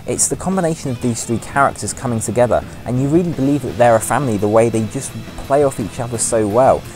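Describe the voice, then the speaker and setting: Guy doing opinionated video game countdown voiceover, medium pitch, music playing behind him. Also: fast, a little mellow, poor audio. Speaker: presenter of a gaming countdown video. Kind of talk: opinionated review commentary voice-over.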